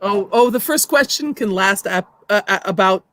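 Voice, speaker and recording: A woman's voice: mid-range voice, normal speed, fairly bright tone, dry recording. An American woman hosting an interview in a casual, playful, chatty style. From United States. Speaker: show host.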